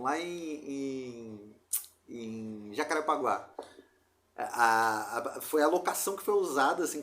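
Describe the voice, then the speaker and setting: A man with a Rio de Janeiro Brazilian accent chatting casually as a podcast host, telling anecdotes in a slangy, rambling way. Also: mid-range voice. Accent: Brazilian Portuguese, Rio de Janeiro. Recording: roomy echo.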